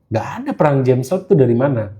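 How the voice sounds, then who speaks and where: A man's voice: mid-range voice, very animated, fast talker, mellow. An Indonesian man in casual podcast conversation, talking slangily and slipping English phrases into his speech. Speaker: guest gemologist who hosts live streams.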